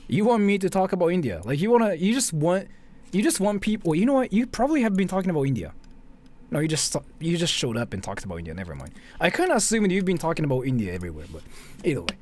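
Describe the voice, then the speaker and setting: A man's voice: on the high side, big pitch swings, fast talker, darkish tone. A man hosting a livestream in a casual, blunt way, thinking aloud and swearing freely. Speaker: livestream host. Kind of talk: casual livestream reaction chat.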